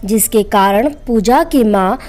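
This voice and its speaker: A woman's voice: medium pitch, takes it slowly, darkish tone. A woman storyteller reading a scripted moral bedtime story as narrator.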